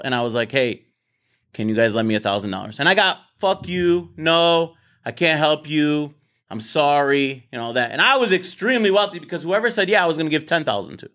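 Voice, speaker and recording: A man's voice: on the high side, animated, brisk, a bit bright. A man hosting a casual debate show in his office, talking bluntly, swearing and repeating words as he starts sentences. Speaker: podcast host.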